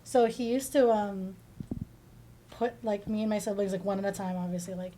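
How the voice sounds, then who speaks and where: Mellow, chatty woman from the Philippines. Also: mid-range voice, moderate pace, slight background noise, rough recording. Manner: chatty, informal, frequent "like". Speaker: teacher, podcast guest. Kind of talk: casual interview answers.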